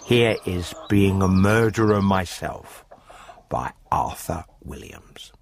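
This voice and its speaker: Slow, deep, gravelly male announcer. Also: animated, slight background noise. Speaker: announcer introducing a radio story. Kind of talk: scripted story introduction.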